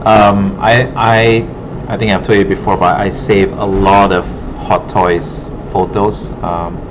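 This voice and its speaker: A man teaching art, giving a casual, halting demo commentary in a classroom, thinking aloud as he goes. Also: low voice, little pitch movement. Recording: muffled broken audio, loud room noise.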